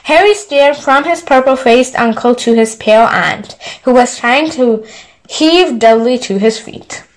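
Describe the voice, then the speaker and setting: A woman's voice: on the high side, measured pace, rough recording. A woman storyteller narrating a bedtime story, reading it aloud from a book. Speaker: storyteller, channel host.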